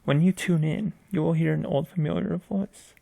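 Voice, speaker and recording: Male narrator, scripted reading, slightly high pitch, frequent vocal fry, halting delivery with repeated phrases. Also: fairly even intonation, warm tone, no echo. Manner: reads aloud haltingly, stumbling and repeating phrases. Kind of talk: scripted narration of a video game mystery story.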